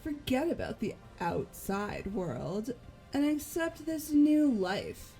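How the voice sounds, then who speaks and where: A woman playing a poised, coolly resigned young woman in a fan-voiced visual novel, speaking formally and deliberately. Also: high-pitched, big pitch swings, mellow, faint music behind, heavily acted, low-quality recording. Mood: cool, composed.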